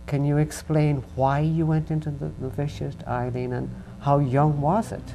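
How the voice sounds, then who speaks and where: A man from Ireland hosting a religious interview program, speaking slowly and earnestly. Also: fairly high pitch, little pitch movement, creaky, warm tone, a little hiss or hum, low-quality recording. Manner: slow, earnest and unhurried. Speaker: host.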